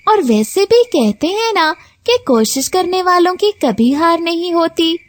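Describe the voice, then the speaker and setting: Young girl bird, kids' cartoon female performer: brave, clever, earnest, high-pitched, animated delivery. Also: unhurried, no room sound. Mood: determined. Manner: earnest, determined. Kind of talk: children's cartoon story acting.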